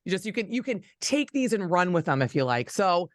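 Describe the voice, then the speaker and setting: A radio host with a mid-range voice and a very dry, close sound. The delivery is chatty and informal, gushing with enthusiasm like a relaxed interview between acquaintances. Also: mid-range voice, fairly quick, no room sound.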